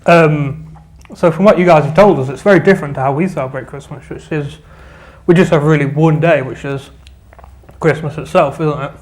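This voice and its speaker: Male co-host, lighter pitch, easygoing interviewer. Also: a little fast, darkish tone. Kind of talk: studio chat show hosting.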